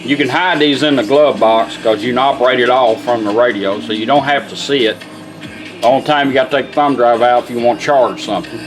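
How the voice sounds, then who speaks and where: A man's voice: mid-range voice, brisk. A man giving a casual product demonstration in an informal, folksy way. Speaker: radio restorer demonstrating a product. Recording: radio music playing in the background. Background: music from the demonstrated car radio.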